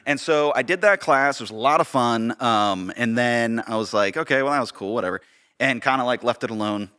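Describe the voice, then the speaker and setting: An American man chatting casually and telling stories in an animated, self-deprecating way, often acting out bits of dialogue. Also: mid-range voice, a little fast, clean tone. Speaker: podcast guest and theater director. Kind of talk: casual conversational storytelling.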